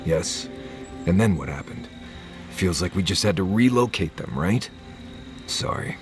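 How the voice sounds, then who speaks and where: Game companion: world-weary man, very low voice, blunt and conversational, clear music behind. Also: animated, a little slow. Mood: weary, conceding. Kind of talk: naturalistic video game dialogue.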